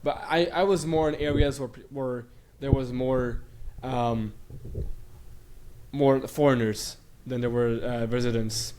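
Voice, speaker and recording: A man's voice: mid-range voice, fairly even intonation, slow, a little mellow. A young man from Bulgaria who grew up in Sweden, talking as a guest in a casual interview, rambling with many fillers and restarts. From Bulgaria, raised in Sweden.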